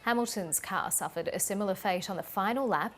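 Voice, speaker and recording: A woman's voice: medium pitch, brisk, a little hiss or hum. A woman with an Australian accent reading scripted news as a presenter.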